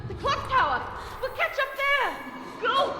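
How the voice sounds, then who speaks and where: An urgent young girl gives commands in a very high, echoey voice. Her delivery swoops with adventure-game drama. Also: sing-song, a little slow, full character voice.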